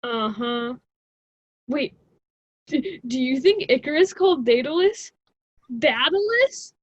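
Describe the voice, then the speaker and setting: A woman playing a stubborn, cocky college student in an amateur radio drama, flippant and husky, on a dry, dull recording. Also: high-pitched, expressive, slow pace, dry recording. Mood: skeptical. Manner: flippant, casual, argumentative.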